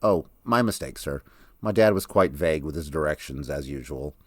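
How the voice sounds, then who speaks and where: A man's voice: a bass voice, expressive, moderate pace, dry recording, clean studio sound. A man voicing a polite, inquisitive young woman in an audiobook story. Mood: confused, apologetic. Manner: polite, questioning.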